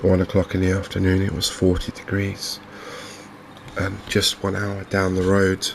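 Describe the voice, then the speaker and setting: Softly spoken English male game host, flat and hushed delivery, gravelly fry, faint background noise. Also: low-pitched. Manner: gentle, unhurried, hushed. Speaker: gaming video host. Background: fishing game ambience.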